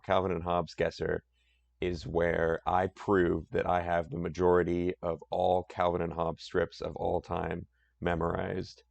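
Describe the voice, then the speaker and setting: A man with a deep voice chatting casually on a live stream, rambling and self-deprecating. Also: vocal fry, no echo, highs cut off.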